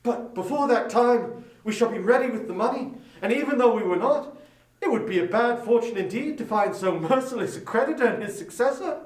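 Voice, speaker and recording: A man's voice: very high-pitched, expressive, brisk, a little mellow, echoey room. A man playing a careworn, earnest young husband in a dramatic audiobook reading. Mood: earnest relief.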